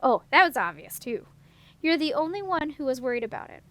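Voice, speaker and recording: A practical, self-assured young woman in a storybook , as a female reader plays her. The voice is high, over faint noise. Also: big pitch swings, a little fast, a bit bright. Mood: matter-of-fact. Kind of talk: storybook character reading.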